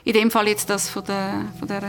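A woman's voice: medium pitch, little pitch movement, normal speed, noisy background. A woman with a Swiss German accent co-hosting a podcast in casual discussion. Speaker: podcast co-host.

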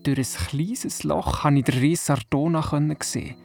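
A performer playing an excitable young boy who retells his dream vividly and naively in a children's radio play. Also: medium pitch, measured pace, creaky. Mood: mischievous. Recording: music behind the voice. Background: music.

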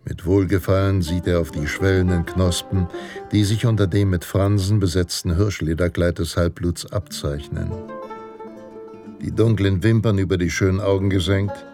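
An actor playing a grave, self-assured Western pulp narrator in a radio drama, speaking in a measured, dramatic way. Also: male voice, deep voice. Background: guitar music.

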